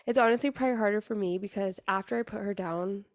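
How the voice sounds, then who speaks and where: A young woman hosting her podcast, talking in a raspy voice with an earnest, chatty manner full of fillers. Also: medium pitch, fast talker, no echo, studio quality. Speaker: podcast host. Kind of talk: casual solo podcast monologue.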